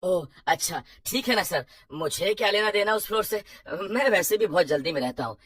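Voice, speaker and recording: Woman as a polite, husky-voiced young man. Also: on the low side, lively intonation, brisk, no echo, clean studio sound. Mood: nervously dismissive. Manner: deferential, hesitant. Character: polite, anxious young working man seeking a flat. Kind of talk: animated horror story dialogue.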